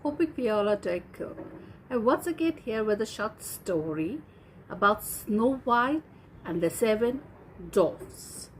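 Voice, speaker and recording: A woman narrating a fairy tale as a storyteller, in measured, deliberate short phrases. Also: mid-range voice, lively intonation, slight background noise, poor audio. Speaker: storyteller and host.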